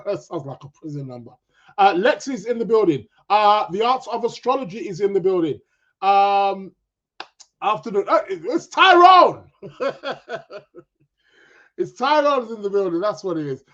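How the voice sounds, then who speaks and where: A man hosting a live astrology podcast in a chatty, upbeat way and greeting listeners by name. His voice is croaky and his nose is stuffed up. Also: sits high, lively intonation, a little slow. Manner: chatty, upbeat, gives viewers shout-outs. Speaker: podcast host. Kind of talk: casual live-stream chat with listeners. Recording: dull, lo-fi sound.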